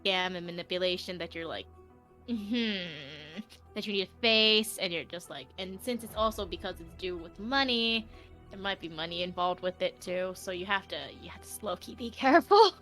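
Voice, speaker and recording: A woman's voice: on the low side, normal speed, a bit bright, soft background music. A woman hosting a casual live stream as a tarot reader, giggly and informal in manner.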